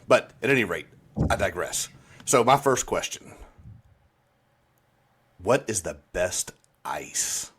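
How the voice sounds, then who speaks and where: A man's voice: low-pitched, sing-song, takes it slowly, long pauses, background noise. A man chatting as a podcast co-host, rambling playfully and drawing out his points. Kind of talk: casual chat.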